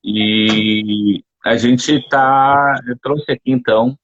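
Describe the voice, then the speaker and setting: Man, live stream host, casual interviewer, loose and chatty, fillers everywhere, mid pitch. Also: extremely slow, muffled recording. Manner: relaxed, informal, many fillers like "né".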